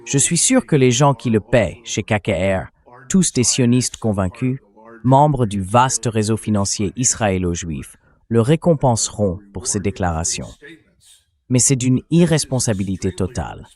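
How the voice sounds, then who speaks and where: A man's voice: on the low side, big pitch swings, unhurried, darkish tone. A man with a synthetic-sounding voice giving military and political commentary as a guest, in emphatic, short declarative sentences. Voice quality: AI-generated translation voice.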